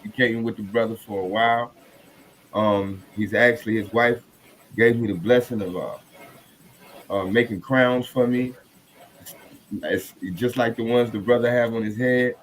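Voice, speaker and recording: A man hosting a podcast, speaking in a halting, courteous and reverent conversational way. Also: mid-range voice, steady tone, poor audio. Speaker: podcast host. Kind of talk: conversational podcast introduction and discussion. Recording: noticeable background noise.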